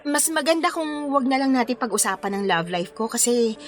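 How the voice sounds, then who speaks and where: A woman's voice: on the high side, animated, a little fast, close to an everyday voice. A woman acting a polite, awkwardly good-humoured wedding planner with a Filipino accent in a radio drama. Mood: peacemaking. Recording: music bed under the voice.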